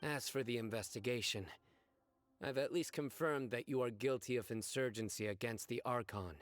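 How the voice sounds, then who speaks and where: A man playing a stern, stoic enforcer of justice in an anime game, speaking with grave, deliberate command. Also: mid-range voice, dry recording, close to an everyday voice. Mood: stern, resolute. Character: stern, righteous, stoic male judge and enforcer. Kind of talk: dramatic anime game voice acting.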